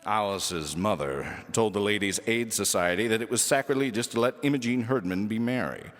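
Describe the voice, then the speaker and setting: A man reading a children's story aloud as lively scripted narration. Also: fairly low pitch, moderate pace. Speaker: storybook reader. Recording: echoey hall reverb with music.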